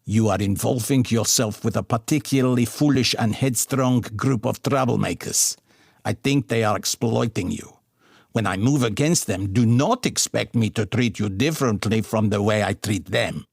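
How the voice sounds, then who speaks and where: A stern Russian colonel acted by a male narrator from an audiobook. He speaks formally and with measured threat, in a husky voice recorded dry and close. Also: on the low side, dry recording. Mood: cold menace.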